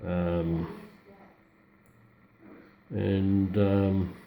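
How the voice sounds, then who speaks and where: A man giving a casual, unscripted product demonstration as a hobbyist collector, speaking haltingly with very frequent ums and pauses. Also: low-pitched, level tone, narrow, tinny audio, slight background noise. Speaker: radio collector presenting a receiver.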